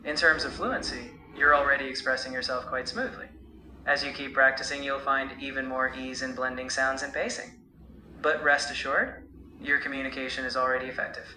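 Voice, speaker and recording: Male AI tutor, very bright sharp tone, roomy sound, light noise, measured coaching. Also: mid-range voice, fairly even intonation, faint music behind. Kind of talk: encouraging spoken feedback.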